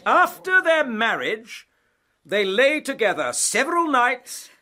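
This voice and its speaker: A man's voice: extremely high pitch, sing-song, slow pace, bright, forceful tone, exaggerated voice. A man playing a pompous, hectoring prosecuting barrister in a period radio drama. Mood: pompous, formal. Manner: pompous, insistent, declamatory.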